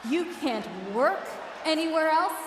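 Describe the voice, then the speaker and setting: A woman's voice: sits high, expressive, measured pace. A woman playing a bitter, jealous wrestling promoter in a video game, delivering a sarcastic, menacing speech over an arena PA. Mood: smug, menacing. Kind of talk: theatrical in-ring promo speech. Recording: echoey arena PA with crowd noise.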